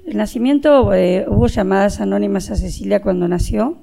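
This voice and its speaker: Very gravelly, dark-voiced Argentine woman. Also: mid-range voice, fairly quick. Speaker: interviewee, relative recounting events. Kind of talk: spontaneous testimony.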